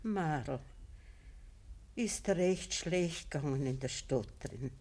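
An anxious, pious Bavarian peasant mother in a radio drama. Her voice is husky and lowish, and she speaks very slowly, ailing and gently pleading. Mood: gentle pleading. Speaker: actor in a radio play. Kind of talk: German radio drama acting.

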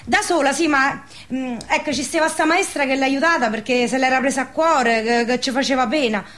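An actress playing a proud, gossipy village spinster, speaking earthy southern Lazio dialect in a radio play. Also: female voice, high-pitched, fairly quick, a bit bright. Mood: gossipy, admiring. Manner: earthy, emphatic, colloquial. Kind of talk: dialect radio theatre dialogue.

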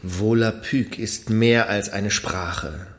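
A man's voice: fairly low pitch, takes it slowly, creaky, full character voice. An actor playing an earnest, persistent man, a private scholar who talks with hesitant fillers. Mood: eager, earnest. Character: earnest, persistent male polyglot idealist. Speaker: private scholar petitioning officials. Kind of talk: radio drama acting.

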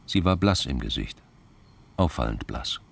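An actor narrating a German crime radio play as a sober, measured male storyteller. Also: rumbling low, very animated, gravelly, breathy edge, heavily acted.

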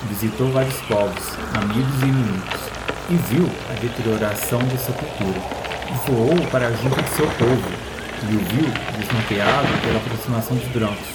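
Brazilian man narrating a story over clear ambience. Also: medium pitch, moderate pace, very noisy.

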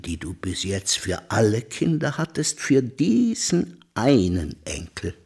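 An actor playing an earnest friend who consoles and exhorts, in a husky voice, in a radio play. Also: male voice, medium pitch, darkish tone, exaggerated voice. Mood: solemn consoling. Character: earnest friend consoling and warning a grieving man. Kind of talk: dramatic radio play acting.

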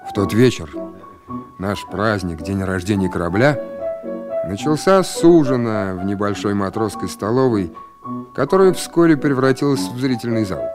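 A man's voice: on the low side, animated, normal speed, natural voice. An actor playing a Soviet submarine officer who tells his own story in an earnest, introspective radio drama narration. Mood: reflective. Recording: 1980 radio play, guitar music behind. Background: guitar music.

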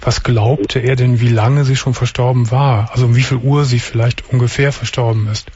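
A man hosting a late-night radio call-in show, with a husky, slightly breathy voice at a middle pitch. He asks calm, patient questions and lets the caller talk. The audio is dull and lo-fi. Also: normal speed, no room sound.

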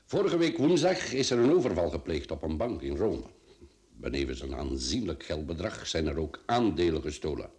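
An actor playing a formal, polite but insistent bank director in a radio drama. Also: male voice, very deep voice, sing-song, normal speed, a little mellow. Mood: grave, insistent. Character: formal, insistent male bank director bearing bad news. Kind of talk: Flemish radio drama acting.

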